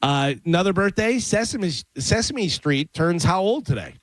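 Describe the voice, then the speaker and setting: Animated man hosting radio banter. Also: high-pitched, normal speed, dry recording. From Chicago. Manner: animated storyteller, reads headlines between jokes. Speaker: radio show host. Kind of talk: casual morning radio banter. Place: radio studio. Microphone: studio microphone.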